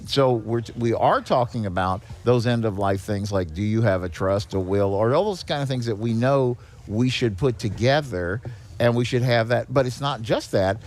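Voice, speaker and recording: Older male podcast guest, medium pitch, folksy and emphatic, conversational explainer who drives points home by repeating them. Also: moderate pace. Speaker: podcast guest, head of a seniors' nonprofit. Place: on location at a garage.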